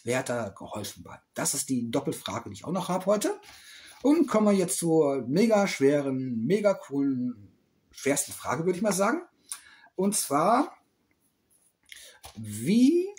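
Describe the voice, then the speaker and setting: A middle-aged man talks close to a webcam in a small room, rambling and restarting as he reads out quiz questions. His voice sits a little high for a man and swings widely up and down. He teases and backtracks often. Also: a little mellow. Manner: rambling, self-correcting, teasing. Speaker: toy collector vlogger. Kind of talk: casual quiz vlog.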